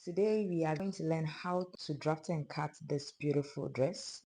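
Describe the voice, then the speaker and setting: A sewing instructor with a hoarse, fading voice, calmly narrating a pattern-drafting tutorial step by step. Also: medium pitch, normal speed. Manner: calm, step-by-step explaining of measurements. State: hoarse, losing voice.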